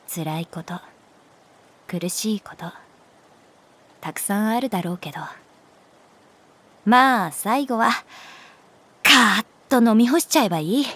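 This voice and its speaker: A woman playing a caring, composed female homeroom teacher in a Japanese voice drama, speaking in a calm, measured and gentle way. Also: mid-range voice. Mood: reassuring.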